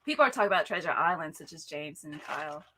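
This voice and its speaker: Bright, playful young woman. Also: low voice, lively intonation, quick pace, slight background noise. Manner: playful, laughs easily. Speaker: co-host reading viewer comments. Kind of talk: casual chat.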